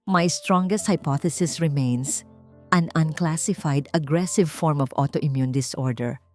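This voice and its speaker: A woman's voice: deep voice, measured pace. A Filipino woman voicing an authoritative female head doctor in an audiobook, formal and firm. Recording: music bed under the voice.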